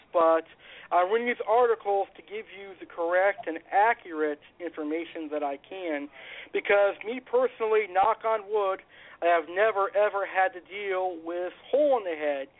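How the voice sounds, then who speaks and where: A man's voice: sits high, moderate pace. A man with a cold hosting a call-in radio show about fish, talking informally and repeating himself. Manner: informal, repeats phrases, fillers. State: has a cold. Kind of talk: casual call-in radio talk. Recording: phone-like narrow band.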